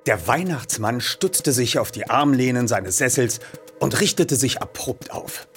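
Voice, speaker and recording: Animated male storybook reader. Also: mid-range voice, unhurried, soft background music. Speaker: audiobook reader and presenter. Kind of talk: lively storybook narration and announcing.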